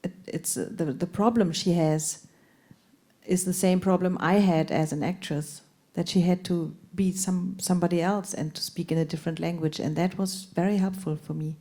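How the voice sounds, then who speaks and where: A woman's voice: fairly low pitch, steady tone, moderate pace, mellow. Hesitant woman actress with lots of restarts. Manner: hesitant, laughing, many restarts. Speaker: actress at a festival panel. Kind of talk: panel talk.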